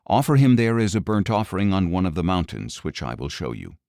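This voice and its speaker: A man's voice: low voice, very animated, a little fast, mellow, dry recording, studio quality. A man reading the solemn, commanding words of God and His angel in a dramatic audio Bible.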